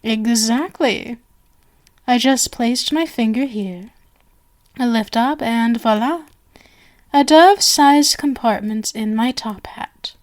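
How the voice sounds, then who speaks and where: Casting: actress as female stage magician for ASMR roleplay, high voice, unhurried delivery, flowery and coaxing, genial with a sinister undertow. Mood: playful, showy. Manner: flowery, theatrical, coaxing speech.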